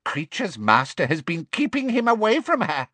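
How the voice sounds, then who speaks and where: A small, spiteful old house-elf from a fantasy audiobook. The voice sits high and swings about gleefully. He gloats like a servant who relishes disobeying, speaking of himself in the third person. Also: wildly swooping pitch, moderate pace, bright, forceful tone, no echo, full character voice. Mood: gleeful, gloating.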